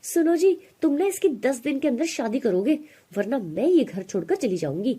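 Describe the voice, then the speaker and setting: Scolding stepmother, performed by a woman narrator: middle-aged, bossy, mellow tone with a raspy edge. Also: high voice, big pitch swings, moderate pace, exaggerated voice. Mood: demanding. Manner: threatening, berating.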